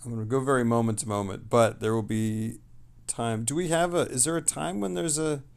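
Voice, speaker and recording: A man casually hosting a livestream, reading messages aloud and replying in a rambling, halting way that trails off. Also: medium pitch, vocal fry, clear, resonant tone.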